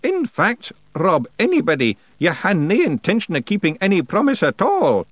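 A man voicing a stern, sharp-tongued old witch woman, scolding and sardonic. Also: high-pitched, huge pitch swings, normal speed, dry recording, voice pushed up, exaggerated voice.

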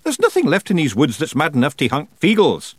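Rowdy little fairy men with broad Scottish accents, put on by a male storyteller. They sound loud, brash and raised in pitch. The recording is close and dry. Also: huge pitch swings, brisk, breathy edge, no room sound, full character voice. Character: rowdy tiny blue Scots fairy men.

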